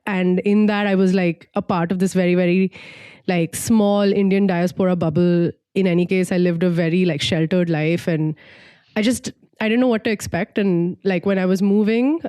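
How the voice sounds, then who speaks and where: A woman's voice: lowish voice, steady tone, normal speed, dark tone, clear, resonant tone, no echo. A young woman from Singapore chatting casually and reflectively as a podcast co-host, in an informal way peppered with "like".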